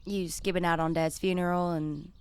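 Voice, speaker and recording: A woman's voice: on the low side, flat delivery, normal speed, darkish tone, smooth, dry recording, close to an everyday voice. An actress playing a blunt, practical rural woman, in a plain-spoken radio drama style. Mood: frank. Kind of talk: naturalistic radio drama acting.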